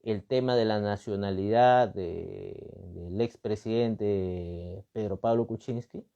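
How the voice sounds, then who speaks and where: Dull lo-fi recording, Peruvian man, mellow voice, political commentator, emphatic repetition, rhetorical questions. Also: on the low side, takes it slowly, vocal fry. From Peru. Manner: emphatic, repeats phrases, frequent rhetorical questions and tags. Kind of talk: extemporaneous analytical talk.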